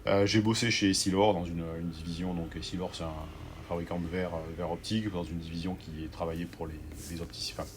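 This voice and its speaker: A man in his forties from southwest France, the guest, talking through his career slowly with many fillers and restarts. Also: deep voice, steady tone, background noise. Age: 40s. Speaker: organic grocery owner, guest. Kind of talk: conversational interview.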